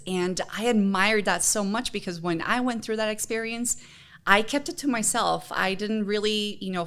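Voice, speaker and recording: A woman's voice: medium pitch, fairly bright tone, clean tone. A woman from Honduras co-hosting a podcast, chatting casually and personally in an earnest, encouraging manner. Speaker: podcast co-host.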